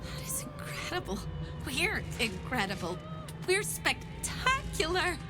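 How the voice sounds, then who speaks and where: A manic, very high-pitched eccentric. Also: female voice, wildly swooping pitch, takes it slowly, over loud music, full character voice. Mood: giddy amazement. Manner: erratic, theatrical, exclamatory. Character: manic, fragile, defiant female prisoner, wildly eccentric. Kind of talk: dramatic video game acting.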